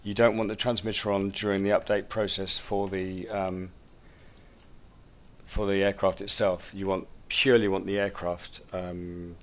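A man with a cold, sounding snuffly, giving a relaxed, rambling step-by-step tutorial at a table in a room at home. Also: fairly low pitch. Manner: informal, rambling, many ums. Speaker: drone instructor. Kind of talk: hands-on tutorial walkthrough.

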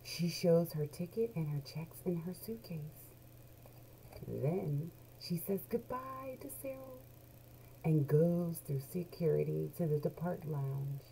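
A woman's voice: lowish voice, vocal fry. A middle-aged woman reading a children's picture book aloud expressively, drawing out key words in a small room at home. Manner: animated storyteller, deliberate, drawn-out key words. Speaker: children's book read-along host. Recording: noisy home webcam recording.

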